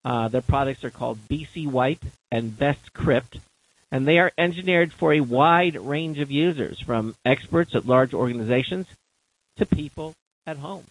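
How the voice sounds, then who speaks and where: A man's voice: mid-range voice, moderate pace, low-quality recording. A man hosting a talk radio show, rambling and hesitant with many restarts. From Carolinas, USA. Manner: rambling, full of fillers and restarts.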